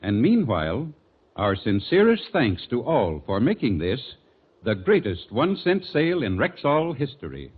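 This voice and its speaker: A thin, tinny old radio recording of an earnest family druggist. His husky voice moves up and down expressively in an apologetic sponsor's message. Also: mid-range voice, huge pitch swings, moderate pace. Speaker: sponsor's spokesman. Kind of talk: scripted 1940s radio advertisement.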